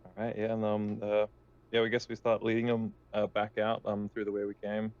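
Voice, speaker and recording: A hesitant, halting man talking casually as a player in a tabletop roleplaying game over a muffled microphone. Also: lowish voice, monotone, a little mellow, smooth. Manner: hesitant, halting with many ums. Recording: dull, muffled microphone sound.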